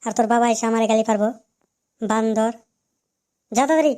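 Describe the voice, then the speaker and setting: A woman's voice: fairly high pitch, steady tone, a little fast, crowd noise, natural voice. A woman voicing a strict, fed-up mother in a comic cartoon, scolding bluntly in an eastern Bengali accent. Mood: angry.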